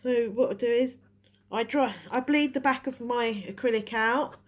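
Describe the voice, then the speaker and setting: A woman's voice: on the high side, normal speed. Stuffy-nosed woman teaching nails. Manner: chatty, restarts while working. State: head cold, stuffy. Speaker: nail technician teaching a live class. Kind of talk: casual live tutorial.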